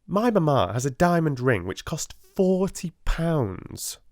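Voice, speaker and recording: A man's voice: on the high side, sing-song, slow pace, dry recording, clean studio sound, voice pushed up, exaggerated voice. A man voicing a spiteful, haughty schoolgirl in a storybook, with a scornful, snippy manner. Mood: spiteful boasting.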